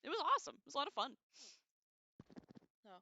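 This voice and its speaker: A woman hosting a casual livestream read-along, expressive and informal, reading aloud and adding chatty, slangy asides. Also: sits high, fast talker, creaky, lo-fi sound, slight background noise. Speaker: livestream host reading a book aloud with commentary.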